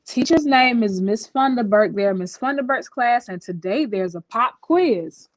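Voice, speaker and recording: A woman storyteller reading a children's picture book aloud in an expressive style. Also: on the high side, measured pace, no echo, low-quality recording.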